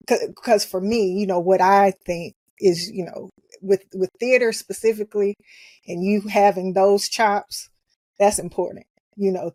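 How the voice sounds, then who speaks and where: A woman's voice: medium pitch, darkish tone, dry recording. A woman hosting a podcast, asking rambling casual questions. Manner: chatty, many "you know" fillers. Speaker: podcast host.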